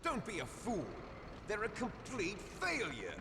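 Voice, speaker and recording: In a long reverb with music underneath, a menacing game villain, a bitter cloned commander. His voice sits fairly high and swings widely as he makes grandiose, theatrical speeches. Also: wildly swooping pitch, measured pace, creaky, noisy background, exaggerated voice. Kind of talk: dramatic video game voice acting.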